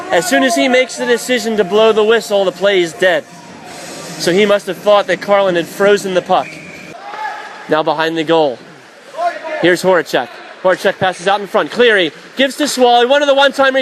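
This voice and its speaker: High-pitched, excitable male hockey play-by-play commentator. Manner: excitable, raises voice to shouts on big plays. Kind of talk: live ice hockey radio play-by-play commentary. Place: indoor ice rink broadcast position. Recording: live arena commentary with faint crowd noise. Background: faint crowd and rink noise.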